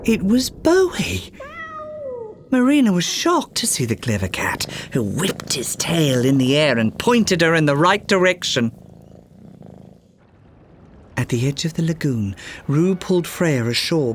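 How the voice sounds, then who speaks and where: A storyteller giving an expressive scripted reading of a children's fairytale, with music underneath. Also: mid-range voice, measured pace, a little hiss or hum.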